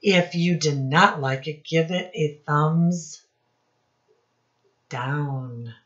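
Female storytime teacher, middle-aged, very unhurried, instructive delivery with questions for kids; slightly muffled home webcam recording. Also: deep voice, a bit bright. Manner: instructive, prompting listeners with questions, drawn-out emphasis on key words. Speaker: children's storytime teacher and reader. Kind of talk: read-aloud picture book lesson for young children. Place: small room indoors. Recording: dull, lo-fi webcam sound.

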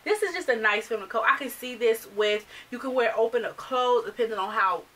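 A woman's voice: fairly high pitch, a little fast, far from the mic. A woman in her thirties, a fashion vlogger, chatting animatedly to camera in a small bedroom, English not her first language. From United States. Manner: chatty, animated, gestures while talking. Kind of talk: casual chat to camera. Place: small bedroom filled with clothes and accessories.

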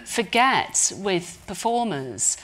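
Lively woman moderating a panel. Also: mid-range voice, takes it slowly, rough recording. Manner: prompting, conversational questioning. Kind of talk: festival panel interview. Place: stage in front of a live audience.

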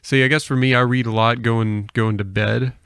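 A man's voice: mid-range voice, steady tone, moderate pace, fairly bright tone, clear, resonant tone, no echo. A young man hosting a podcast in casual conversation, speaking close to the microphone. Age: young adult. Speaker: podcast host. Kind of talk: casual chat. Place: small indoor room with bookshelves. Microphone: close podcast microphone on arm.

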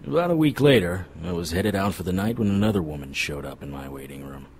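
A man playing a cocky, world-weary paranormal investigator, narrating a noir radio drama in a wry, deadpan way. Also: low voice, quick pace, a little hiss or hum. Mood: wry, cool.

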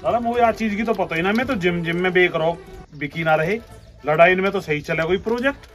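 A man playing a gym owner in a comic sketch, loud and scolding, with rough humour. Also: sits high, a little fast. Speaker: gym owner selling injections. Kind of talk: comic sketch in village dialect. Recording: music bed under the voice.

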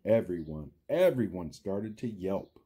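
Friendly dinosaur storyteller for a children's cartoon storytime, played by a man: low, mellow, husky, theatrical, asking the kids questions. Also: lively intonation, measured pace, full character voice. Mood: theatrical storytelling. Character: friendly green dinosaur puppet, animated children's storytime reader. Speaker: storytime reader for a school class. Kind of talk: children's storybook read-aloud with asides.

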